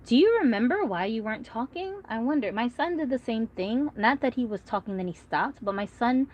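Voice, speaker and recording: A woman's voice: fairly high pitch, expressive, a little fast, a little mellow, dull audio. A woman in her thirties whose first language is Korean, hosting a casual livestream in a chatty, rambling way and reading out viewer comments. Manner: chatty, rambling, frequent fillers. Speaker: doll collector vlogger.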